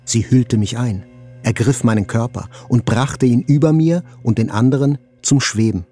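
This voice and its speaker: An actor playing a wounded survivor, narrating in a measured, literary way as in a radio play. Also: male voice, mid-range voice, dark tone, soft background music, natural voice. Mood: grave, tense. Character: wounded male survivor narrating a terror attack, intense, inward.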